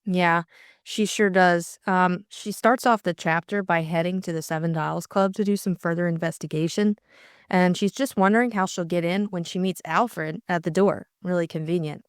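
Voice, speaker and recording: A woman's voice: fairly low pitch, fairly even intonation, normal speed, smooth, dry recording, studio quality. A young woman working as audiobook narrator and co-host, reading a story and discussing it.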